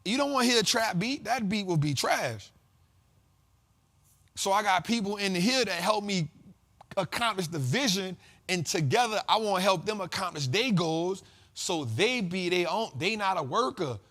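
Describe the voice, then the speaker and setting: A man hosts a money talk show in loose, slangy conversation. His voice sits a little higher than most men's. He stacks repeated lines the way a preacher does. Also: expressive, normal speed, fairly bright tone. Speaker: host of a finance talk show. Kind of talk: casual motivational monologue.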